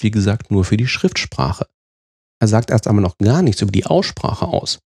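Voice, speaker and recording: Male presenter from Germany, gravelly low voice, husky edge, measured scripted delivery. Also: a little mellow, no echo, clean studio sound. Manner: measured, explaining step by step. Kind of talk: scripted explanatory narration.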